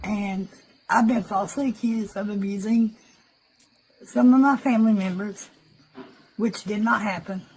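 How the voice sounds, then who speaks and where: A woman's voice: mid-range voice, fairly even intonation, unhurried, pauses often, a little mellow, slightly rough, poor audio. A woman speaking earnestly in a recorded personal statement, defending herself.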